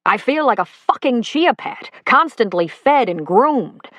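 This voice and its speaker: A woman's voice: fairly high pitch, expressive, a little slow, fairly bright tone, dry recording, voice pushed up. A woman reading the part of a fed-up middle-aged husband who is walking out, blunt and exasperated, swearing.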